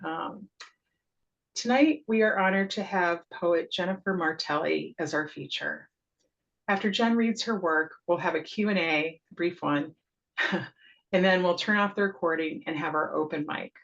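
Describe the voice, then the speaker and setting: A woman's voice: fairly low pitch, a little slow, creaky, fairly bright tone, dull audio. A woman hosting a poetry reading over a video call, welcoming the audience and introducing the featured poet, with frequent ums. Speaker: host and town poet laureate.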